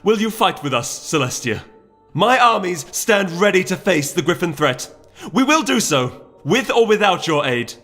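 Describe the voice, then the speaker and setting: A man voicing a fierce, defiant duchess in a storybook reading, declaiming forcefully and indignantly over music. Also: sits high, sing-song, normal speed, pitched above the performer's own voice, exaggerated voice. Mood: defiant anger. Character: fierce defiant duchess, pleading southern leader.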